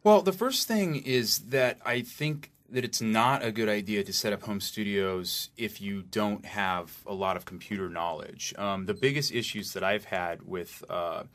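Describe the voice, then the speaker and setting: A man giving informal interview answers as an audio engineer, haltingly thinking aloud as he explains. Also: fairly low pitch, fairly bright tone.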